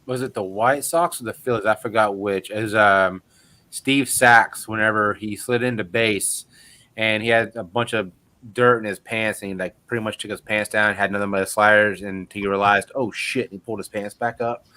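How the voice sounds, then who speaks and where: A man's voice: lowish voice, fairly even intonation, moderate pace, bright, forceful tone. A man in his forties hosting a casual livestream chat, telling rambling, self-deprecating stories over a call mic. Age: 40s. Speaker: host.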